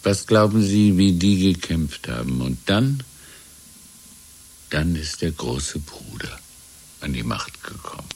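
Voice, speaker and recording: An actor playing a wistful, deferential old shopkeeper who talks in a halting, musing way, in a 1970s radio drama. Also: male voice, low-pitched, animated, a little mellow, breathy edge, heavily acted. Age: older. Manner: halting, musing, repeating himself. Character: wistful, deferential old shopkeeper reminiscing about lost freedom.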